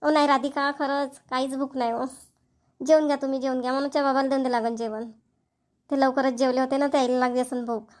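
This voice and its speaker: A woman's voice: high-pitched, flat delivery, fast, darkish tone, close to an everyday voice. A woman voicing a tired, middle-aged village mother-in-law in a family cartoon, with a homely Varhadi Marathi accent. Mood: weary. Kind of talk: cartoon family comedy voice acting.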